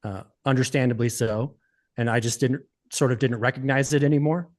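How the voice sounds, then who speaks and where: A man in his forties from the United States, chatting as a podcast guest in a relaxed, rambling way, with many fillers. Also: medium pitch, fairly quick. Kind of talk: casual interview chat.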